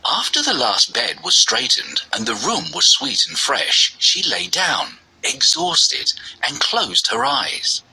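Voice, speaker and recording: A man narrating a scripted children's story with a raspy, creaky voice. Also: fairly high pitch, wildly swooping pitch, slow, hardly any pauses, bright, forceful tone, a little hiss or hum, poor audio.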